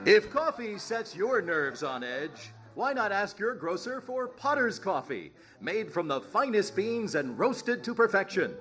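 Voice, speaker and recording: Guy doing old timey radio ad announcer, high n lively, salesy, bit of music. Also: unhurried, hardly any pauses, heavily acted. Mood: upbeat, selling. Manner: polished, salesy. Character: upbeat 1930s radio announcer pitching coffee. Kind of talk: 1930s radio advertising.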